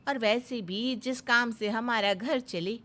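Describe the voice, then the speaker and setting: A woman's voice: a little high, slow pace, a bit bright. A woman voicing an earnest, polite young village daughter-in-law in a cartoon moral story.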